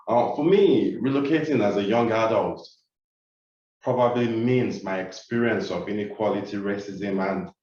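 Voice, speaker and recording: A man from Nigeria speaks with a Nigerian accent, Yoruba his first language. His voice sits fairly low, and he talks in a measured way with frequent "uh" fillers. The sound is very echoey, dull and comes from far off the mic. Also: darkish tone. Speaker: keynote speaker. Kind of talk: webinar talk.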